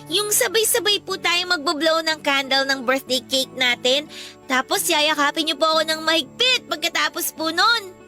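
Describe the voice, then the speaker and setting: An actress playing a sweet, polite eleven-year-old daughter in a Filipino radio drama, with a childlike, pleading way of talking. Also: female voice, high voice, animated, moderate pace, sharp tone, soft background music. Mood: wistful, pleading.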